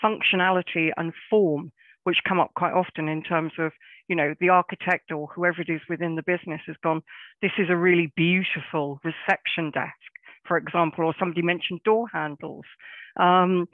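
A woman's voice: fairly low pitch, steady tone, fairly quick, no echo. A woman hosting a webinar and putting questions to a guest. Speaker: webinar host. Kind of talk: moderating a Q&A. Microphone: webcam on video call. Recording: tinny phone-like call audio.

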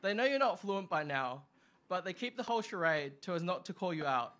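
A young man performing open mic stand-up, with a halting delivery full of ums and uhs, on a small club stage. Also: high-pitched, very animated, a bit bright. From Australia. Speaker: stand-up comic. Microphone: stand mic, far camera.

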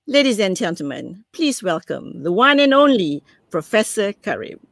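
A middle-aged woman with a Malaysian accent reading a formal, measured introduction as a session moderator. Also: mid-range voice, big pitch swings, vocal fry, dry recording, studio quality. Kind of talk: formal scripted introduction, read aloud. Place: indoors on a video call.

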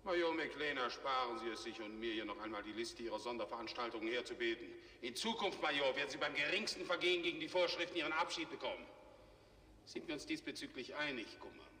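A man acting a stern middle-aged general of a space fleet in a 1960s science fiction series. His voice is forceful and medium-pitched, clipped and official, ringing in a long reverb on a noisy old soundtrack. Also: fast, natural voice. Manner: clipped, formal, authoritative. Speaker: actor.

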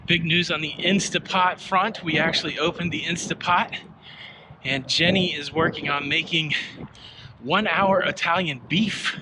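A man's voice: a little high, fairly even intonation, normal speed. A middle-aged man hosting a casual walking vlog, chatting to viewers and joking at his own expense as he walks through an outdoor park. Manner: chatty, self-deprecating, asks viewers questions. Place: outdoor park in rain and wind. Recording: wind and outdoor noise on a body camera.

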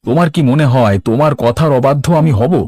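A man's voice: medium pitch, quick pace, a little mellow, dry recording, close to an everyday voice. A man voicing a dejected but patient village fisherman in a cartoon, with a plaintive, complaining manner. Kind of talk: Bengali cartoon voice acting.

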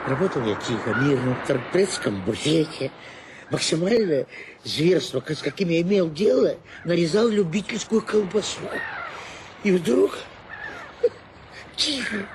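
A timid little canteen worker in a radio drama. He laments anxiously in a fairly high, plaintive voice. Clear background noise surrounds him. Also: animated, takes it slowly, long pauses. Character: timid, plaintive canteen man posing as tamer. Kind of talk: radio play acting. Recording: noisy radio play, ambience behind.

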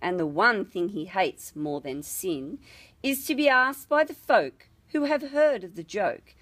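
A woman reading a ballad expressively, with measured, dramatic storytelling. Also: medium pitch, animated, a bit bright. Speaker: storybook reader.

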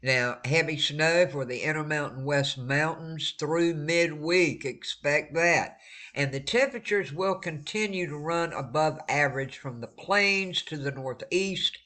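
A man's voice: fairly high pitch, measured pace, sharp tone. A man with a stuffed-up nose, giving a friendly, casual weather forecast over maps, repeating words for emphasis. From eastern North Carolina. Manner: friendly, repeats words for emphasis, warns listeners to stay safe. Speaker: weather vlogger.

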